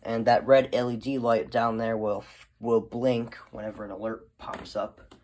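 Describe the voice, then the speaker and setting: A man's voice: mid-range voice, level tone, normal speed, a little mellow, slight background noise. A man giving a casual, unscripted show-and-tell, rambling and often trailing off into short asides. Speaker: hobbyist video host.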